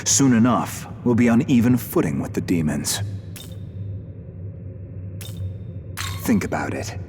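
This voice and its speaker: A man's voice: mid-range voice, sing-song, moderate pace. A man playing a ruthless, zealous human warrior in a video game, contemptuous and commanding, with music behind him. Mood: smug conviction. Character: ruthless, zealous human man who despises demons and weakness. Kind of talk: dramatic video game voice acting. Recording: loud game music underneath.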